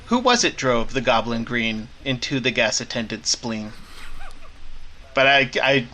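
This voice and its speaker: A man hosting a casual podcast, talking in a relaxed way with frequent hesitations. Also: medium pitch, measured pace, a little hiss or hum. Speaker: podcast host.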